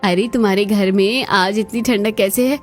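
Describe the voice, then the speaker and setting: A woman's voice: medium pitch, fairly even intonation, normal speed, soft background music, natural voice. A woman voicing housewives and mothers-in-law in a family cartoon, chatty and exclamatory. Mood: excited, teasing. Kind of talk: scripted cartoon dialogue.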